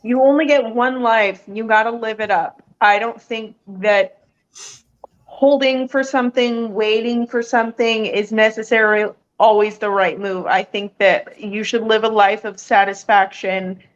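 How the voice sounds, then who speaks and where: A woman's voice: a little high, steady tone, a little slow. A woman guest in casual chat, explaining her views in plain, confident statements. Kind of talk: casual video-call chat. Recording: dull lo-fi audio.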